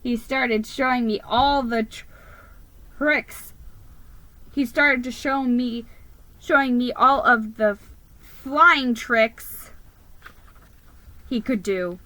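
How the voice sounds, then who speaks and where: A woman giving a theatrical, expressive dramatic reading of a children's story. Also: a little high, takes it slowly, long pauses, no room sound, rough recording.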